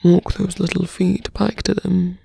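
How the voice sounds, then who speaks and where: A gravelly, heavily creaking villain acted out by an ASMR performer speaks slowly and very close, in a dry space. The voice is breathy and often sinks into a whisper, seductive and taunting with quiet menace. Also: medium pitch, little pitch movement, dry recording, exaggerated voice. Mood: taunting, menacing. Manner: slow, deliberate, taunting. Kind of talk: intimate ASMR roleplay acting.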